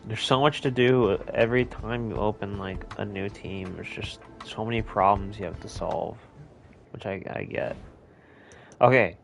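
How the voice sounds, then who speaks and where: American male gaming host, lowish voice, loose rambling delivery, laughs easily, flares into rants. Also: creaky, soft background music. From United States. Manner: rambling, chuckling, quick to rant. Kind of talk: casual gameplay commentary. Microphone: home recording setup.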